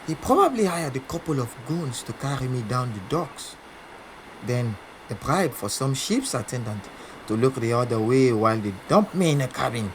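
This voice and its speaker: An actor playing a proud, volatile Senegalese champion boxer, wounded underneath, confiding in an audio drama. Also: male voice, mid-range voice, animated, normal speed, noisy background, close to an everyday voice. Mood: bitter, reflective. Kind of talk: audio drama acting with confiding first-person narration.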